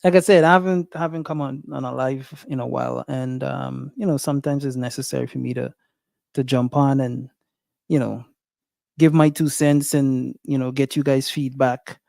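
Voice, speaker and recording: A man's voice: mid-range voice, fairly even intonation, normal speed, gravelly, darkish tone, no room sound, studio quality. A man with a Guyanese accent hosting a casual livestream, thinking aloud with many fillers and restarts. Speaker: livestream host.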